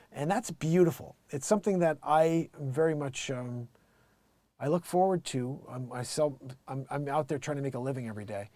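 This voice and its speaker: A middle-aged American man hosting a casual livestream, rambling emphatically and excitedly in an unscripted monologue, often acting out bits of quoted conversation. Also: mid-range voice, no room sound. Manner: animated, rambling, emphatic, quotes himself and others. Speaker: live music show host and musician.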